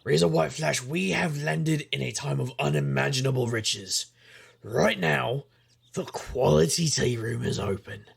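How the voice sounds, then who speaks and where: An actor playing a hard-drinking, roguish private investigator in a noir audio drama, gruff and husky, with wry, world-weary wisecracks. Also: male voice, mid-range voice, measured pace, heavily acted. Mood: roguish enthusiasm. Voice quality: gravelly, husky.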